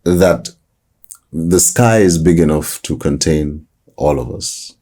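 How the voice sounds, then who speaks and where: Very deep, husky-voiced man. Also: warm tone. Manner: reflective, hesitant restarts, slow emphasis. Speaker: voice-over artist. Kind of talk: relaxed interview answers.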